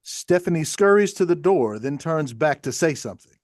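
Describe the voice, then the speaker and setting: A man's voice: fairly high pitch, lively intonation, normal speed, no room sound, clean studio sound. A man reading stage directions as scripted narration for a play.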